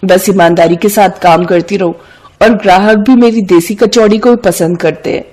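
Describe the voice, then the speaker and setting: A woman's voice: mid-range voice, steady tone, moderate pace, a little mellow, close to an everyday voice. A woman voicing an honest, humble young village food seller, polite and earnest, in a cartoon moral story. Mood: earnest, content.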